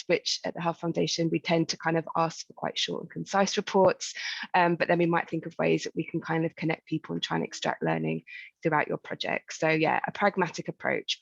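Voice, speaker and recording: A woman's voice: sits low, creaky, dry recording. A woman explaining things as a programme staffer on an online information call, informal but professional, with muffled call audio. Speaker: grant programme staff member answering questions.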